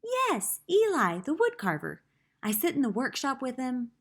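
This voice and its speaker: A woman's voice: sits high, huge pitch swings, measured pace, voice pushed up, exaggerated voice. A woman voicing a carefree, confident young female wooden puppet in a children's storybook. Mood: breezy.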